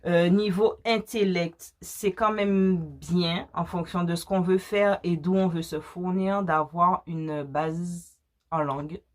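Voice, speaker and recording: A woman's voice: on the low side, fairly even intonation, takes it slowly. A woman from the French Caribbean chatting casually on video, explaining things step by step with practical advice. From French Caribbean (Guadeloupe and Martinique). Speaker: small cosmetics business co-owner answering questions.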